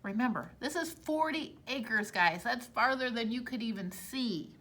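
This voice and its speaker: A woman reading a storybook aloud to children like a teacher, expressively, pausing to explain things to her young listeners. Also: fairly high pitch, expressive, sharp tone.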